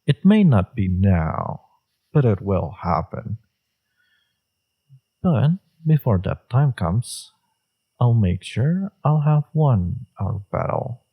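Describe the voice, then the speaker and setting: A man playing a smug, seductive villain in a romantic audio roleplay, teasing and sure of himself, with a low, gravelly, husky voice. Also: sing-song, phone-quality sound, exaggerated voice. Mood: earnest, resolute. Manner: teasing, self-assured, unhurried.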